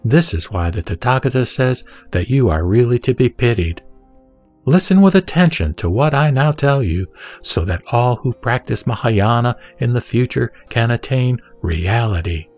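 A man voicing a serene, authoritative holy teacher in a scripture audio drama, solemn, didactic and measured. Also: mid-range voice, lively intonation, a little mellow, faint music behind, close to an everyday voice. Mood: solemn teaching.

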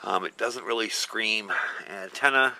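A man's voice: lowish voice, unhurried, creaky, sharp tone, dry recording, low-quality recording. A man giving a casual, hands-on product demo in an informal, folksy, explanatory way. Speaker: video host, product reviewer. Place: outdoors in a gravel yard. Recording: outdoor camera recording with ambient noise.

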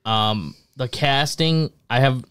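A man's voice: mid-range voice, slow pace, a bit bright, clear, resonant tone, dry recording. A young American man co-hosting a casual podcast, laid-back and matter-of-fact. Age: young adult. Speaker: podcast co-host. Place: indoor podcast studio. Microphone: close boom-arm podcast microphone with headset.

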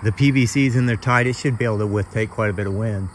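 Low-voiced American man, insects behind him. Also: fast. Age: middle-aged. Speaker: hobbyist presenter. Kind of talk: casual unscripted show-and-tell. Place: outdoors in a yard and on a roof. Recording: outdoor recording with insect noise.

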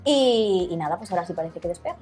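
A woman's voice: fairly low pitch, expressive, fast talker, darkish tone, slightly rough, faint music behind. A young woman with a Madrid accent chatting casually as a guest, talkative and colloquial. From Madrid, Spain. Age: young adult. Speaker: interview guest, Instagram content creator. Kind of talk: casual chat. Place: small studio set, indoors. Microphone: lapel.

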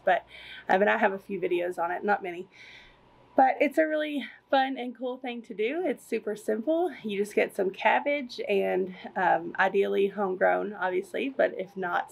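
A woman's voice: medium pitch, creaky, a little mellow, slightly rough. A young woman with a Kentucky accent, chatting upbeat as she explains a kitchen recipe step by step at home. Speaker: homestead vlogger. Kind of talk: casual kitchen how-to. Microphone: camera on the counter.

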